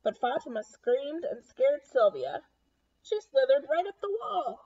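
A young woman reading a picture book aloud to children in an animated, expressive way. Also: sits high, unhurried, dull audio. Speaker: children's read-aloud host. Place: small room at home.

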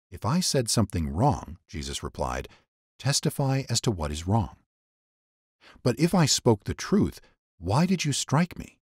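Deep husky guy reading bible passages like a story, measured, dramatic phrasing. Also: no room sound, studio quality. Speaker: narrator, scripture reader.

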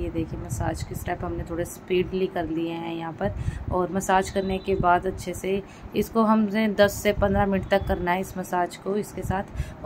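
A woman's voice: fairly low pitch, noisy background. A woman giving a casual step-by-step vlog voice-over, explaining and rephrasing her points as she goes. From India. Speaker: vlogger.

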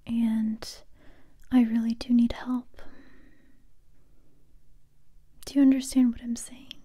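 A young woman playing a tough, determined survivor interrogating a captive in a soft, breathy ASMR whisper, close to the microphone. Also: fairly high pitch. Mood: hushed, stern. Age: young adult. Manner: slow, deliberate, repeating phrases. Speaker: ASMR roleplay performer.

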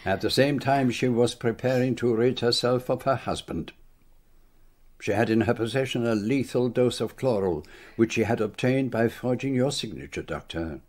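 An actor playing a shrewd, composed middle-aged detective in a radio drama, speaking in a measured, formal, deliberate way. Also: male voice, mid-range voice, a little mellow, lightly acted. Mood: grave, certain.

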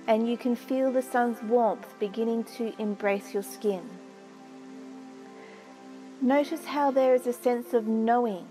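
A woman narrating a guided meditation in a soothing, measured way with long pauses. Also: on the high side, warm tone, poor audio. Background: music.

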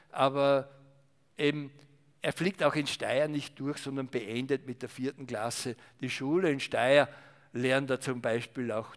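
An older man giving a rambling talk as a historian in front of a small audience, full of fillers and restarts. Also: medium pitch. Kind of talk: discursive public talk with slides. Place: salon event room with small audience. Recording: reverberant hall.

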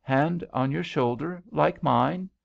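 A man voicing a spirited, excitable young woman swimmer in a story reading, on a muffled recording. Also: fairly high pitch, slow pace, vocal fry, voice pushed up, exaggerated voice. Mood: tender.

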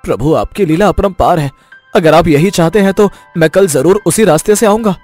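A man voicing a devout, trusting village husband in an animated devotional story, speaking earnestly. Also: sits high, a little fast, soft background music. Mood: reverent. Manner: earnest, faithful, reassuring.

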